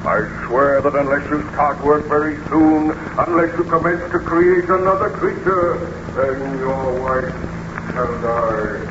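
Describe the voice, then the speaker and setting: An actor playing an embittered, wretched monster in a 1930s radio serial, melodramatic and formal, pleading and menacing by turns. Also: male voice, a little high, a little slow, lightly acted. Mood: vengeful, menacing. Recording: noisy, muffled 1930s radio recording.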